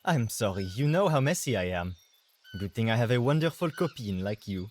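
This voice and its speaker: An animated, mid-pitched boyfriend voiced by a man in a video game drama. He is earnest, flattering and theatrical, sprinkling French pet names, with faint noise behind him. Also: fairly quick, close to an everyday voice. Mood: playful, sheepish. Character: messy, romantic, nervous boyfriend, sprinkling French endearments. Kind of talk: dramatic game cutscene acting.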